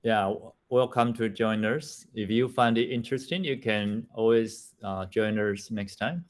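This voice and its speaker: A man's voice: on the low side, measured pace. A man hosting a meditation center meeting, calling on people in turn to introduce themselves. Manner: friendly, laughs often, calls on each speaker. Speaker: meeting host. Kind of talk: moderating introductions.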